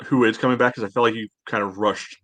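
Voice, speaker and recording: A man co-hosting a casual sports podcast, giving his take in a dry, deadpan way. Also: fairly low pitch, fast talker. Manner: dry, dull deadpan delivery. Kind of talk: casual panel commentary.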